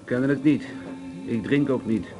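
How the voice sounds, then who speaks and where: An actor playing a dry, inquisitive teacher who turns amateur sleuth in a Dutch radio play, measured and wry. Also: male voice, medium pitch, over music, natural voice. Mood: reserved, probing. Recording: very noisy, muffled radio play recording.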